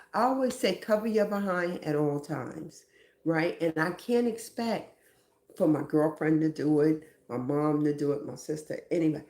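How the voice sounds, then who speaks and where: A woman's voice: fairly low pitch, warm tone, roomy sound. A woman hosting a live stream, giving reflective motivational talk and often asking "right?".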